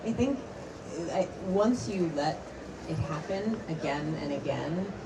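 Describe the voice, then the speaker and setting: A woman's voice: low-pitched, lively intonation, a little slow, vocal fry. A woman moderating a panel discussion before an audience, talking energetically with many restarts. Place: conference stage before an audience. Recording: distant mic, noisy event.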